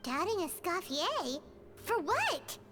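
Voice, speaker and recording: Tiny excitable game sidekick: piercingly high, squeaky, childlike, inquisitive, music clearly behind. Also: female voice, slow, full character voice. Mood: puzzled. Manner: exclaiming, indignant, inquisitive. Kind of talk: video game voice acting.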